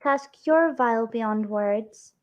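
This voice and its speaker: A woman's voice: a little high, slow, highs cut off. A woman hosting a livestream, chatting casually, giggling and teasing her viewers. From Scotland. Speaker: stream host.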